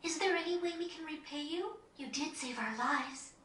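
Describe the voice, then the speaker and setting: Gentle, high-voiced teenage girl. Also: expressive, moderate pace, reverberant, recorded from a distance, a little hiss or hum. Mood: grateful, subdued. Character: gentle, polite, earnest young teenage girl. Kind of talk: video game cutscene acting.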